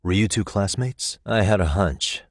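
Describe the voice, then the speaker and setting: Earnest video game detective: low, husky voice, measured and matter-of-fact as he reasons things out. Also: no echo, clean studio sound. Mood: serious, probing. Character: earnest, analytical male detective reasoning through a case. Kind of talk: English video game voice acting, conversational deduction.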